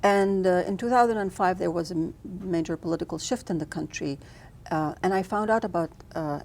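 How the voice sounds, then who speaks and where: A woman filmmaker from Lebanon answers interview questions in a fairly low, gravelly voice. She speaks thoughtfully and often restarts her sentences. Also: darkish tone, a little hiss or hum. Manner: thoughtful, frequent restarts and fillers. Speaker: filmmaker guest.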